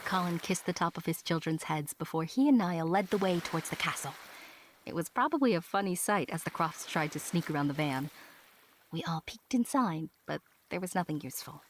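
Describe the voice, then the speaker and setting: A woman's voice: lowish voice, fairly quick, slight background noise, natural voice. A woman playing a small, proud winged secret agent who narrates an audio drama expressively and earnestly. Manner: expressive, self-important storytelling. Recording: music behind the voice.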